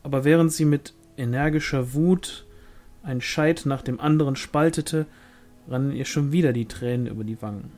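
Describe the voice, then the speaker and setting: Man narrating a novel, mid-pitched voice. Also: normal speed, a little mellow, soft background music. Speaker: audiobook reader.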